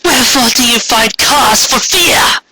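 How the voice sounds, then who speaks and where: A man's voice: very high-pitched, unhurried, bright, forceful tone, husky, voice pushed up, exaggerated voice. A man voicing a wrathful, imperious alicorn queen in a storybook reading, with grandiose archaic commands. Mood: disgusted demanding.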